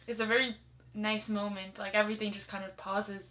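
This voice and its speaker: A woman's voice: mid-range voice, level tone, brisk, fairly bright tone. A woman chatting casually in a discussion, agreeing and adding short points of her own. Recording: distant mic with faint ambient noise.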